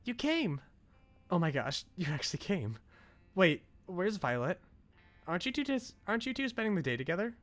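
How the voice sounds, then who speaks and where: Man putting on a high-pitched, flustered young woman. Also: sing-song, normal speed, a bit bright, soft background music. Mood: excited, surprised. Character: excitable sweet young woman, flustered friendly hostess.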